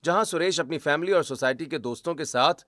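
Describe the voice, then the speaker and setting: A man's voice: a little high, a little fast, a bit bright, no room sound. A man narrating a scripted story as its storyteller.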